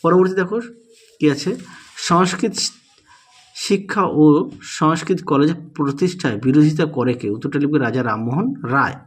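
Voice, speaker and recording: Husky higher-pitched man teaching. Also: moderate pace, pauses often. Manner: dictating answers, often repeating key points. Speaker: teacher presenting exam solutions. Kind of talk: instructional walkthrough of questions and answers.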